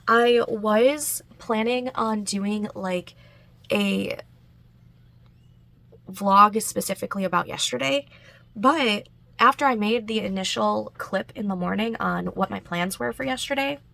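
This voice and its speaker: A woman's voice: on the high side, vocal fry, fairly bright tone, no echo. A woman chatting casually in a writing vlog, a bit congested and nasal, with frequent fillers and little laughs. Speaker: writing vlogger.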